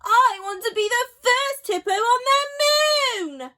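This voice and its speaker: A woman's voice: very high voice, animated, slow pace, sharp tone, pitched above the performer's own voice, exaggerated voice. A woman voicing a cheerful, polite female hippo with big dreams in a children's story. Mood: excited, determined.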